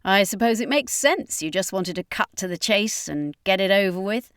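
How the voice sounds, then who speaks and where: A woman's voice: medium pitch, animated, normal speed, fairly bright tone, no room sound, studio quality, close to an everyday voice. A woman voicing an arrogant, taunting male demon god of vampires in a fantasy audiobook, mocking and imperious. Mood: mocking, smug.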